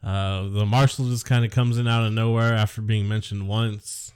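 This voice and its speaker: A man's voice: lowish voice, level tone, normal speed, a little mellow, clear, resonant tone. Sarcastic man griping about a movie. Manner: sarcastic, dismissive, swears casually. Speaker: podcast co-host. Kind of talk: casual film review chat.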